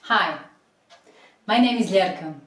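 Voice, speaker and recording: A woman, a human rights advocate, giving a steady, formal prepared statement. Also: mid-range voice, slow. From Croatia. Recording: echoey room, distant mic.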